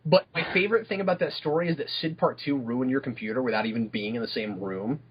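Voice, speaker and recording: A young man talking casually as a podcast guest, lively and hesitant, with lots of "like" and repeated words. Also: medium pitch, fast, background noise. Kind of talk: casual chat. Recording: lo-fi audio.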